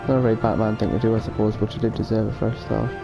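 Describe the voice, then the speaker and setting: A man giving casual, low-key gameplay commentary as a let's player, musing and reading results aloud. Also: level tone, racing, gravelly. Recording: game music under the voice. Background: game music.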